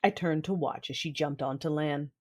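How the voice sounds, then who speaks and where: A woman's voice: low voice, normal speed, darkish tone. A woman acting a commanding, vengeful Sith warlord. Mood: brooding. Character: proud, vengeful Sith warlord mother with a hot temper. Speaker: narrator and lead character. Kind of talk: first-person audio drama narration and acting.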